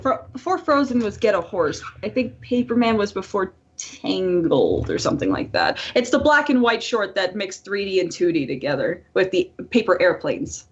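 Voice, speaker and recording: A woman co-hosting a casual podcast, talking in an animated, playful way and swearing freely. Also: mid-range voice, moderate pace, hardly any pauses, slightly rough. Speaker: podcast co-host. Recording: dull lo-fi voice-call audio.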